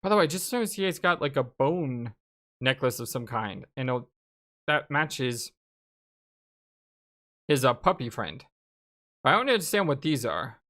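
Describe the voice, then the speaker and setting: A woman's voice: extremely low pitch, measured pace, long pauses. A woman giving casual, chatty gaming commentary as she plays and reads a game aloud. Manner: animated, chatty. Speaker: let's play host reading the game aloud.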